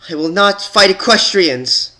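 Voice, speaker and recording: Man acting out an anguished high-pitched unicorn queen. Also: animated, unhurried, pushed and bright, heavily acted. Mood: defiant anger. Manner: indignant, impassioned pleading. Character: Twilight, anguished powerful female unicorn ruler.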